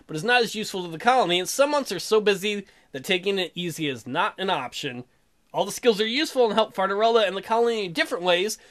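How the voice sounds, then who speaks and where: A man's voice: sits high, a bit bright. A man hosting a let's play, reading game text aloud and tossing in irreverent, jokey ad-libs.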